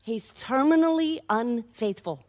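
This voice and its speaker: Brazen, wisecracking wife, very animated. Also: female voice, on the high side, a little slow. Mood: wry, unrepentant. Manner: wry, blasé, worldly. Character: brazen, cynical, wisecracking wife hiring a private detective. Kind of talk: radio mystery acting.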